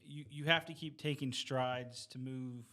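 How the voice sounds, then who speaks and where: A man's voice: medium pitch, steady tone, measured pace, sharp tone. A middle-aged man in a casual faith discussion, speaking in an earnest, plain-spoken way and repeating points for emphasis.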